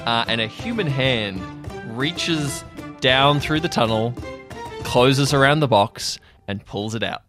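Male game master, average pitch, dry and exasperated, faint music bed. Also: animated, takes it slowly. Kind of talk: casual improvised game narration.

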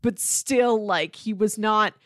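A woman talks casually as a podcast co-host discussing film. She slips into imagined quotes to act out her retellings. Her mid-pitched voice is recorded dry and close, with very little room sound. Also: takes it slowly, fairly bright tone, no room sound.

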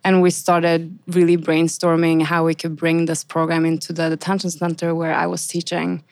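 Female dance teacher guest; measured, low-pitched, dry sound. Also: completely flat delivery, darkish tone, dry recording. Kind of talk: casual interview chat.

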